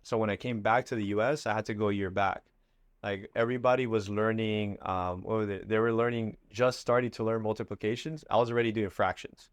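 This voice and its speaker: A man in his twenties tells his story in an easy, rambling way, dropping fillers as he goes. He grew up in Miami after leaving Ecuador. His voice sits low and sounds dry and close to the mic. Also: brisk, dry recording. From Ecuador, raised in Miami. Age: 20s. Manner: relaxed, loose, many fillers. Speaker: guest entrepreneur. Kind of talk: casual interview talk.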